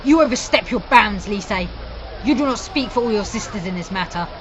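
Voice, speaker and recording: A woman's voice: mid-range voice, lively intonation, normal speed, lo-fi sound, slight background noise, lightly acted. A woman playing an imperious, stern leader of an alchemist sisterhood in an audio drama. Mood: stern rebuke. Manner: stern, haughty. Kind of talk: fan audio drama acting.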